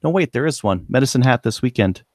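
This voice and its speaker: A man's voice: medium pitch, lively intonation, fast, a little mellow. A man with a Canadian accent co-hosting a podcast in casual chat. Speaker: podcast co-host.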